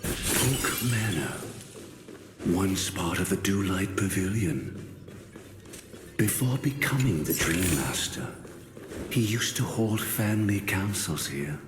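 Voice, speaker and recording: A spirit made of memory in an anime game, composed and polite. The deep voice is solemn and very deliberate, with slow delivery and long, echoing reverb. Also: male voice, lively intonation. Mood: solemn, reflective. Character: calm, courteous male spirit formed from memory. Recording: echoey long reverb, faint noise.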